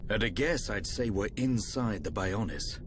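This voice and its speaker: An actor playing a seasoned warrior and steady, encouraging leader in a video game cutscene, speaking in a measured, rousing way. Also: male voice, lowish voice, noisy background. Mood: musing. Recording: loud orchestral music behind.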